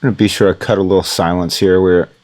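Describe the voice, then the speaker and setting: Low-voiced rambling male instructor. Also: fast talker. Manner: rambling, relaxed, lots of fillers. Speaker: audio production instructor. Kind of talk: casual recorded tutorial. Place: home recording studio.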